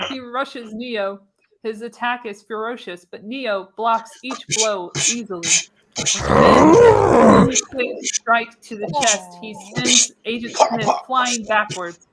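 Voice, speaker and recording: A woman's voice: on the high side, fairly bright tone, rough recording. A woman reading screenplay narration for a live radio play, theatrical and emphatic on the action. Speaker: podcast host reading the narration.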